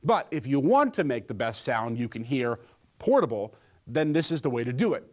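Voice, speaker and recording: A man's voice: medium pitch, big pitch swings, normal speed. A man presenting a scripted gadget review, with a joking streak in his delivery.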